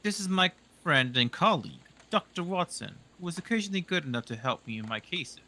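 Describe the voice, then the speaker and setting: A man's voice: fairly high pitch, lively intonation, bright, forceful tone. A man voicing a confident, dry-witted male detective in a story reading. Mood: assured. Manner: brisk, crisp and dryly assured. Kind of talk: audiobook reading.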